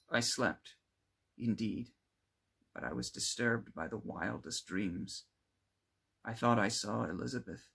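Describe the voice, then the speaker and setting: A man narrates classic gothic fiction as an amateur audiobook reader. His voice sits fairly low and is husky, with a gravelly creak. He delivers the prose theatrically, leaning on key words and setting phrases apart. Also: a bit bright.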